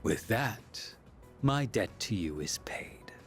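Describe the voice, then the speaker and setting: An actor playing a cool, cryptic man in a video game, speaking formally and with calm menace. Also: on the low side, in a whisper, soft background music. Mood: calm, ominous. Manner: formal, measured, soft-spoken at times.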